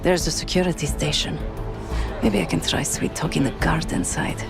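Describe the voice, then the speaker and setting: A woman's voice: low voice, fairly even intonation, moderate pace, creaky. A woman playing a cool, sly covert operative in a video game, speaking with wry understatement. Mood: focused. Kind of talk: video game cutscene acting. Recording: loud music behind game dialogue.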